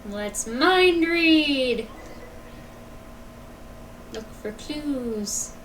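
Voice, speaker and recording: Unhurried, high-voiced woman streaming. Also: animated, background noise. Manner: short, musing remarks to herself. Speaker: game streamer. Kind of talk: casual live game commentary.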